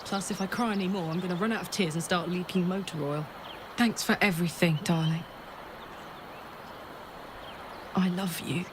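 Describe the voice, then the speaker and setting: A woman's voice: fairly low pitch, fairly even intonation, a little fast, background noise, lightly acted. A woman acting a brash but tender warrior who is grieving her own coming death in a video game, raw and heartfelt. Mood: fond, wry.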